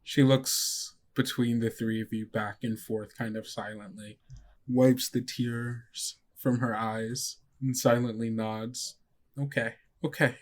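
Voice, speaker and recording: A man's voice: medium pitch, flat delivery, takes it slowly, a little mellow. A man narrating a tabletop game as game master, casual and conversational.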